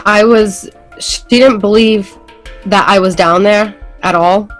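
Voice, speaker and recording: A woman's voice: mid-range voice, steady tone, slow pace, soft background music. New England woman, rambling storyteller. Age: adult with school-age kids. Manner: rambling, heavy on fillers and restarts. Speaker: interview guest. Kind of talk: casual storytelling in a podcast interview.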